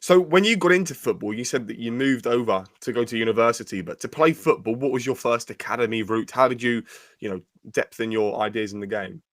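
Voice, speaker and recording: A man hosting an interview podcast, asking long rambling questions in a brisk way. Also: mid-range voice, dry recording, studio quality. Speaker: podcast host.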